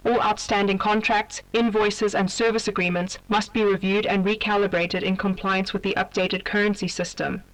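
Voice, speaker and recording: An announcer reading a scripted public advisory in an official, impersonal and measured manner. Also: mid-range voice, a bit bright, distant mic, rough recording. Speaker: emergency broadcast announcer.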